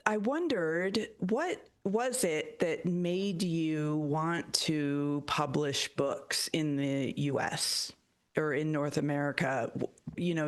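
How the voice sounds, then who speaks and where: Female podcast host; slow, enthusiastic, meandering interviewer. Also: sits low. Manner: enthusiastic, rambling questions. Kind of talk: casual interview.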